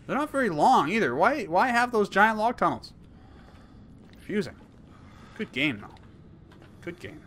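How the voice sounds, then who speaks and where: A man in his thirties commenting on a horror game he plays, rambling and jokey, swinging from mumbles to yells. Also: high voice, very animated, unhurried, long pauses, a little hiss or hum. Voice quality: hoarse at times. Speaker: gaming YouTuber. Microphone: headset.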